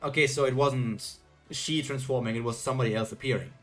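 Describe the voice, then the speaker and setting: A man's voice: medium pitch, brisk, a bit bright, faint music behind. A man chatting casually while reacting to a webtoon on a live stream and reading chat. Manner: chatty, self-questioning, reads chat aloud.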